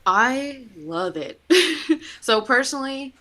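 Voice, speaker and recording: A woman's voice: sits high, very animated, slow, pushed and bright. A young woman chatting casually as a podcast guest, talkative, with frequent laughs and "like" fillers. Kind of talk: casual chat.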